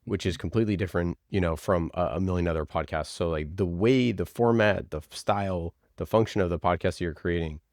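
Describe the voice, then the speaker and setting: A man answering interview questions casually, in a halting, conversational way with many small filler words. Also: low-pitched, darkish tone, dry recording, clean studio sound. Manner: halting, conversational, frequent "like" and "you know". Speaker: podcast producer, interview guest.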